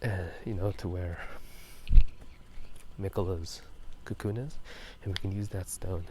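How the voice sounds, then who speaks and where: A man with a fairly low voice speaks in a soft, breathy half-whisper, like a host of casual gaming ASMR. He rambles and pauses as he thinks aloud. The recording is made outdoors at night, with clear ambient noise behind him. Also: steady tone. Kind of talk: casual ASMR game talk. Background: drainage pipe water, passing cars.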